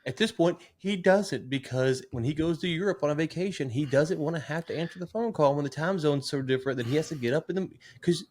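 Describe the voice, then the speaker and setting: A man's voice: on the high side, quick pace, few pauses, darkish tone. A man in his late thirties talking conversationally as a business podcast host, animatedly acting out what other people say. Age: late 30s.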